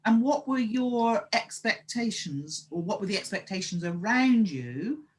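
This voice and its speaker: A woman's voice: medium pitch, expressive, measured pace. A woman hosting an interview as a story coach, asking probing questions with frequent hesitant fillers. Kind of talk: casual interview chat.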